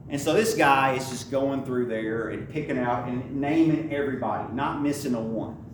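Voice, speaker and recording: A middle-aged man preaches in a storytelling way, pushing his voice up for emphasis and restarting phrases as he goes. He is caught from a distance by the mic in an echoey church with faint background noise. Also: moderate pace. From Lebanon, Tennessee area. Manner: storytelling, rises in pitch for emphasis, frequent restarts. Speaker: pastor. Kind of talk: sermon.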